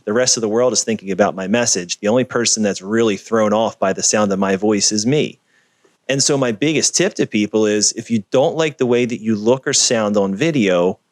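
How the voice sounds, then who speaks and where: A man being interviewed over a video call, talking in an energetic, emphatic, storytelling way. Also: medium pitch, no room sound. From Philadelphia area. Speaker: guest, video producer.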